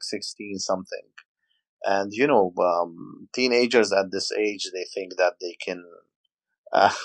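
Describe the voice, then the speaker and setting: A man's voice: lowish voice, no room sound, rough recording. A man from Lebanon in his forties, a podcast guest telling stories in casual talk with many hesitations. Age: 40s. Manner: hesitant, many fillers, storytelling.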